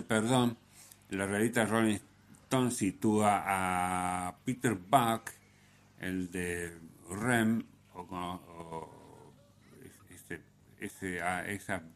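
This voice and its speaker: Deep-voiced, slow-paced male radio host. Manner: halting, with hesitations and self-corrections. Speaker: radio music show host. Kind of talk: unscripted music commentary on radio.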